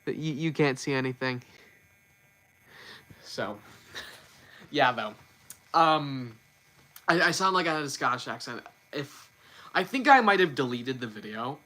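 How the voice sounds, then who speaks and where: A man's voice: medium pitch, very animated, a little slow, long pauses, sharp tone. A man hosting a casual livestream and talking off the cuff. He stumbles, restarts his sentences and repeats words often. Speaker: livestream host.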